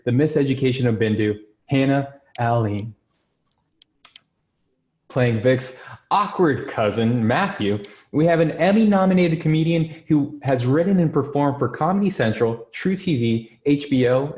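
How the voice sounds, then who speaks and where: A man reads introductions and screenplay directions as host. His voice is medium in pitch, and the recording is noticeably roomy and a little dull. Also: moderate pace, darkish tone. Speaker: host and stage-direction narrator. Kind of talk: scripted introductions and screenplay narration.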